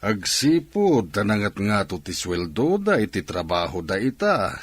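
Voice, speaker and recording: A man's voice: lowish voice, sing-song, measured pace, breathy edge, no echo, full character voice. A man playing an earnest, firm village man with a Filipino accent in a radio drama. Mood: insistent. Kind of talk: Ilocano radio drama acting.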